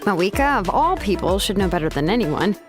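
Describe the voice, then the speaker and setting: An actress playing a young master craftswoman in a video game, blunt and pragmatic, speaking with measured, hesitant exasperation. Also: mid-range voice, big pitch swings, close to an everyday voice. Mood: explanatory, grave. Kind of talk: video game character dialogue. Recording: music behind the voice.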